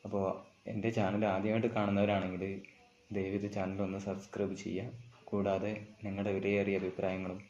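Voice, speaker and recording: Low-voiced man, dull recording. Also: monotone, fairly quick, darkish tone, a little hiss or hum. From Kerala, India. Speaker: amateur vlogger giving an app tutorial. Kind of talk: informal step-by-step tutorial narration.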